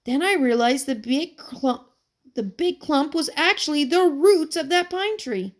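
A woman voicing an excited young boy in a storybook reading, talking fast and breathless. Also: sits high, voice pushed up. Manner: breathless, rushed.

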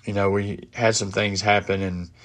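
A man's voice: on the low side, little pitch movement, fairly bright tone. Congested man hosting a podcast. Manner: halting, many fillers and restarts. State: congested from a head cold. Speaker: podcast host. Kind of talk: casual solo podcast talk. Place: home office.

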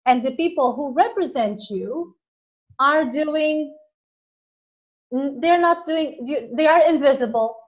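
Host with a Portuguese accent, a woman, earnest and emphatic in conversation, higher voice, muffled recording. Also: slow pace, long pauses. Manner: earnest, emphatic, searches for words. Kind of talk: casual conversation.

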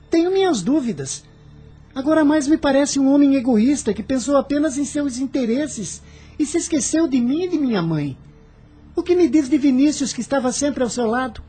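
Radio drama: resentful young man, very high-pitched, soft mellow tone, anxious and reproachful. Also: expressive, moderate pace, faint music behind. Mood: bitter, resentful. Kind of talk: radio soap opera acting.

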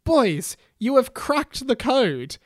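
A man voicing a knowing, stern female wizard teacher instructing boys in an emphatic, deliberate storybook manner. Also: very high-pitched, sing-song, no echo, clean studio sound, pitched above the performer's own voice, full character voice.

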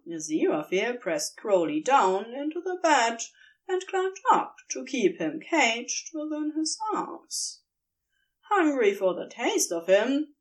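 Woman story narrator, unhurried and melodic, fairly high-pitched. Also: poor audio. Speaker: podfic reader. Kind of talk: scripted narration of fan fiction.

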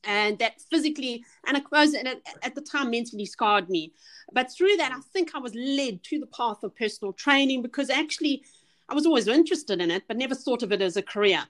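A woman's voice: a little high, expressive, fairly quick, fairly bright tone. A middle-aged woman with a South African accent, a personal trainer and motivational speaker. She talks chattily and earnestly as a podcast guest, with many restarts and fillers. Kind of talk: casual podcast interview.

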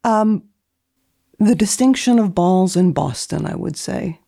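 A woman speaking as a guest columnist in a deadpan, theatrical manner, as if giving a dramatic reading. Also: on the low side, unhurried, vocal fry, no room sound, studio quality. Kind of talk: dramatic reading of a book index and deadpan commentary.

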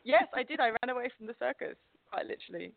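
A woman's voice: on the high side, animated, fast talker, gravelly. A woman from England with an English accent touched by an Australian twang, chatting as a guest with frequent laughs and restarts. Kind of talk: casual interview chat. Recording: phone-like line, dry.

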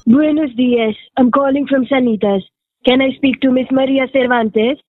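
A woman's voice: sits high, fairly even intonation, moderate pace. A woman playing a polite office caller on the telephone in an audio drama. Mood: polite, businesslike. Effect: telephone filter. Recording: tinny telephone line.

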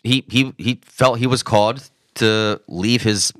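A man co-hosting a podcast, chiming in with quick agreement during a casual faith discussion. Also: on the low side, fairly bright tone, no echo, studio quality. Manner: quick to agree, lots of interjections. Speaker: podcast co-host.

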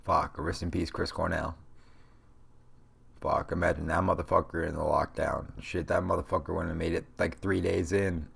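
A man's voice: low voice, little pitch movement, moderate pace, vocal fry, a bit bright, husky. A young man hosting a solo podcast, ranting in a rambling, profane, motivational way, stuffy-nosed from a cold. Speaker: podcast host. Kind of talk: casual solo podcast rant.

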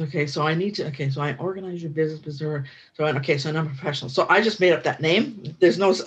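A woman's voice: on the low side, slightly rough. Canadian woman with a dull lo-fi sound. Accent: Ottawa Canadian. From Ottawa, Canada. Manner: easygoing, conversational, matter-of-fact. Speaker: guest, business finance educator. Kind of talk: casual interview storytelling.

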